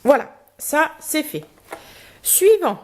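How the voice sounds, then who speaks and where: A woman with a slightly higher voice talks casually through a beauty box, pausing and filling gaps as she goes. Her nose is a little blocked from a cold, and she sometimes reads out product descriptions. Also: very animated, darkish tone. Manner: chatty, hesitant, many fillers, reads product cards aloud. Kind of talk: casual unboxing review.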